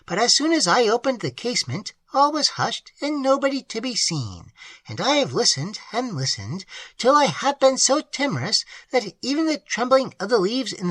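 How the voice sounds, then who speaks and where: A reader doing an anxious old village widower. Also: male voice, sits high, sharp tone, no room sound, voice pushed up, full character voice. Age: older. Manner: earnest, rustic old-fashioned talk. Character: elderly male villager, widowed, superstitious. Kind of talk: audiobook character reading.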